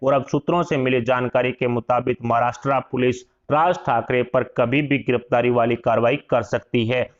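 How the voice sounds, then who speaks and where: A man delivers scripted news narration in a mid-pitched voice. The recording is dull and lo-fi, with little top end to the sound. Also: steady tone, normal speed, few pauses.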